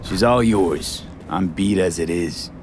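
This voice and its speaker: A man's voice: sits low, slow, a little hiss or hum. A male actor playing a dry, weary young mercenary in a video game, terse and matter-of-fact. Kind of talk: cinematic video game acting. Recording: music behind the voice.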